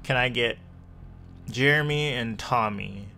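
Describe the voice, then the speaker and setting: A man narrating a tabletop roleplay game as game master, descriptive and measured, with long pauses. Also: medium pitch, vocal fry, pushed and bright, a little hiss or hum. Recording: music bed under the voice. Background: music.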